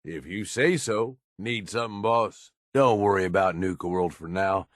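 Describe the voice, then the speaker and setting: An actor playing a gruff, scheming male raider lieutenant in a video game, with a crude, sardonic tough-guy drawl. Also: sits low, normal speed, no room sound, clean studio sound, natural voice. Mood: companion talk. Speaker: companion character. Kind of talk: video game combat and companion barks.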